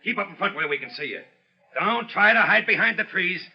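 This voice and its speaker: An actor playing a bossy, suspicious gang boss with a tough, domineering manner in 1930s radio drama. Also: male voice, high-pitched, lively intonation, brisk, gravelly. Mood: barking orders. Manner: barking, tough-guy, domineering. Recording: muffled 1930s radio recording.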